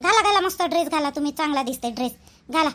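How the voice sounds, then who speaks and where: Woman doing a chirpy, very high-pitched girlish sister-in-law. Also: expressive, quick pace, sharp tone. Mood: persuasive, cheerful. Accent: Vidarbha Marathi. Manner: insistent, chatty. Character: chirpy, pushy, helpful young sister-in-law in a village family. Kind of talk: village cartoon dialogue.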